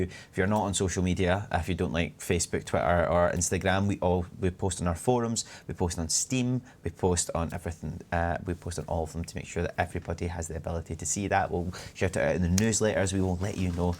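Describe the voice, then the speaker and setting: A man's voice: low voice, fairly even intonation, fast, hardly any pauses, darkish tone. A young Scottish man in his twenties, a community manager chatting on a live stream and reading out viewer comments. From Scotland. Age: 20s. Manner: chatty, rambling, reads chat aloud.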